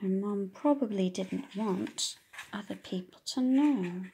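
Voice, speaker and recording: A woman's voice: mid-range voice, takes it slowly, mellow, slight background noise. A woman reading a children's story aloud expressively, with engaging, questioning asides like a teacher talking to young listeners. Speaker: audiobook reader for children.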